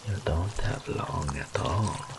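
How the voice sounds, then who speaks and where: A man playing a seductive, teasing, dominant demon incubus in an intimate audio roleplay, breathy and often whispering, drawing out his taunts. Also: deep voice, very noisy, full character voice. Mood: seductive, taunting. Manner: slow, drawn-out, mock-sympathetic purring taunts.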